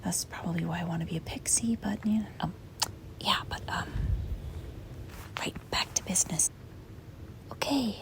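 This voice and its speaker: A woman playing a reluctant, apologetic sleep paralysis demon in a soft, hesitant, breathy ASMR whisper. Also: mid-range voice, low-quality recording. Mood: gentle, apologetic. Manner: hesitant, rambling, soft-spoken. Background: rain and wind.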